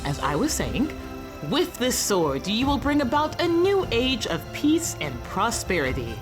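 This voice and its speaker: A woman playing a brisk, no-nonsense noblewoman in a fantasy radio play, theatrical and dryly comic. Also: fairly high pitch, huge pitch swings, music in the background. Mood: brisk, dismissive. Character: brisk, no-nonsense noblewoman presiding over a sword rite.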